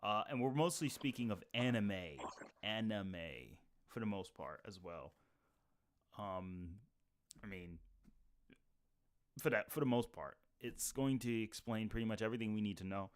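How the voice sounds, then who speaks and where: A man's voice: on the low side, a little slow. A man hosting a casual live stream, talking in a rambling way with lots of restarts and fillers. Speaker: livestream host. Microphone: computer mic with webcam.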